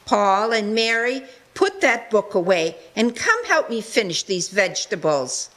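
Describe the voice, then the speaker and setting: A woman's voice: mid-range voice, big pitch swings, a little slow, fairly bright tone. A woman playing a busy, loving, outspoken Victorian mother in a live radio play. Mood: bustling.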